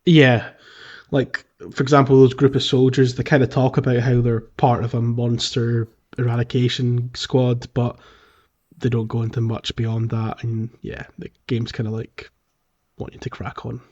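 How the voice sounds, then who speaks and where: A man's voice: medium pitch, monotone, moderate pace, a little mellow. A man co-hosting a gaming podcast in casual, conversational talk. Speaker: podcast host. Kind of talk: casual game discussion podcast. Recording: slightly dull, lo-fi voice track.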